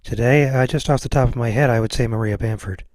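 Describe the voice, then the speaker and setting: A man's voice: medium pitch, quick pace, darkish tone, no echo. A man hosting a podcast in casual conversation, with an easygoing, agreeable way of talking. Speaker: podcast host. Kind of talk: casual chat.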